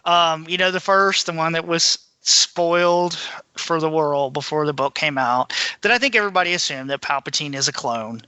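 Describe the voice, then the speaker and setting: Bright, forceful man chatting as podcast co-host. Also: fairly high pitch, moderate pace, clean tone, dry recording. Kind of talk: casual film and book discussion.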